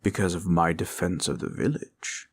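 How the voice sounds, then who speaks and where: A man voicing a gentle, protective dragon in an intimate roleplay, speaking formally and solemnly, with a gravelly, sometimes breathy voice. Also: sits low, expressive, exaggerated voice. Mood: calm, measured. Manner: slow, solemn, archaic formal phrasing. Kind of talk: intimate comfort roleplay audio.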